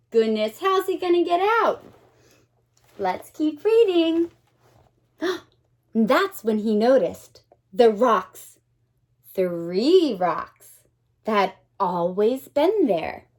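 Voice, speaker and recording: A woman reads a children's story as a teacher would, in a high voice that moves up and down a great deal. She takes it very slowly, with dramatic pauses. Now and then she turns to her young listeners with an expressive aside. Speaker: teacher reading a picture book to children.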